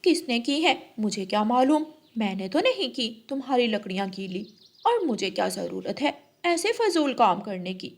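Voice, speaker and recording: A woman's voice: fairly high pitch, sing-song, moderate pace. A woman voicing a spiteful rich female crow in a cartoon, haughty and taunting. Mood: denying.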